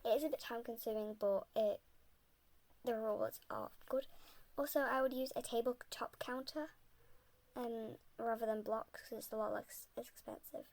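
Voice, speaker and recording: A young woman, a bit sick, rambling softly and often half-whispering in a casual voice-over about building in a game. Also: a little high, fairly even intonation, normal speed.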